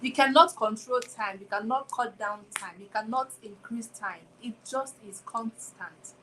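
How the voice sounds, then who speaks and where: A woman's voice: on the high side, measured pace, bright, forceful tone, slight background noise, rough recording. A woman in her twenties hosts a solo motivational talk, speaking reflectively and returning again and again to rhetorical questions. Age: 20s. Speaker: podcast host.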